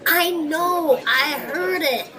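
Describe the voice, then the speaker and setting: Woman as high-voiced chatty gangster. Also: extremely slow. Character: chatty, incredulous, sociable gangster. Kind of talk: audio drama dialogue. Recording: busy crowd chatter behind.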